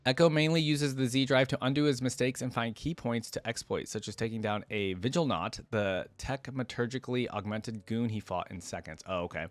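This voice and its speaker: Man, medium pitch, dry close sound, casual reaction host, read-aloud with conversational asides. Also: a little fast, hardly any pauses, no echo. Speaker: host of a game lore reaction channel. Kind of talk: reading aloud with casual off-the-cuff commentary.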